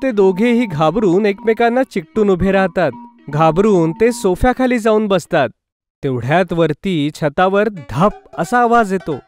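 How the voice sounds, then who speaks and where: A man's voice: high voice, expressive, measured pace, faint music behind. A man reading scripted narration for a children's cartoon story.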